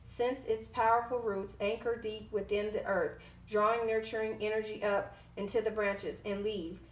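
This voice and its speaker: A woman's voice: medium pitch, level tone. Woman doing a tarot card reading, roomy echo, kinda stumbles over words and fixes them. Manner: halting, often stumbles over words and corrects herself. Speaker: tarot card reader, host. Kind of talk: informal oracle card reading, part read aloud, part ad-libbed. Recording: roomy, echoing sound.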